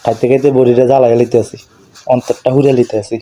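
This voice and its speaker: Fast-talking man with eastern Bengali rural dialect. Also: medium pitch, little pitch movement, warm tone. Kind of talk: casual phone chat.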